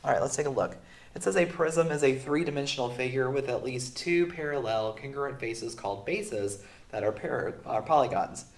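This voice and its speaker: A man's voice: mid-range voice, normal speed. A man teaching a math lesson while sick, talking casually with lots of fillers. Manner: casual, filler-heavy explaining. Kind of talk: classroom lesson recording. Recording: echoey room, far from mic.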